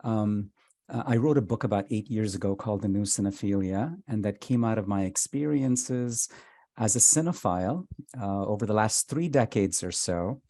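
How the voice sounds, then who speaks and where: A man's voice: fairly low pitch, normal speed, dark tone, no echo, studio quality. A middle-aged man, a film critic answering questions thoughtfully and hesitantly over a video call. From India. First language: Tamil. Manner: thoughtful, hesitant, with frequent fillers. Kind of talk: reflective conversational answer.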